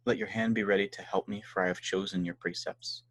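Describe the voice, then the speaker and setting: Man, low voice, dry close recording, scripture reading. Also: steady tone, fairly quick, fairly bright tone, no room sound, poor audio. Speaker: Bible reader.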